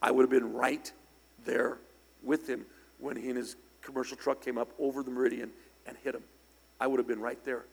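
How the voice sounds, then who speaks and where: A middle-aged man preaching a sermon in church, slightly hoarse and raspy from singing, conversational with loud bursts of emphasis. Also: medium pitch, flat delivery, brisk. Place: church sanctuary. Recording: long hall reverb in church.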